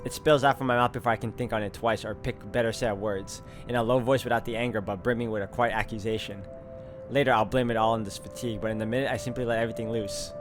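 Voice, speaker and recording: A man's voice: lowish voice, steady tone, fast. A man playing through a visual novel, reading its text aloud and adding casual commentary. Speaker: game streamer doing a walkthrough. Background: game music.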